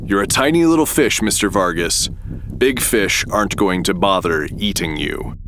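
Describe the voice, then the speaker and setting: A man's voice: sits low, expressive, normal speed. A man playing a menacing, condescending government agent in a noir audio drama, formal and officious in his speech. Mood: cold threat. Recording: faint background ambience noise.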